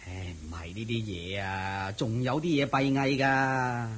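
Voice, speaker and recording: An actor playing a harried, sympathetic neighbour in a Cantonese radio drama, confiding with sighs in a husky Hong Kong Cantonese voice. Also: male voice, mid-range voice, unhurried. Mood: grave, gossipy. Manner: sighing, confiding, expressive. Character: harried, gossipy, sympathetic village neighbour man.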